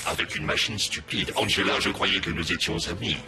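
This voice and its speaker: A man's voice: low voice, brisk, close to an everyday voice. An actor playing an obsessive, menacing male customer-service robot, mock-polite and desperate for friends in a cartoon. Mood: wounded, threatening.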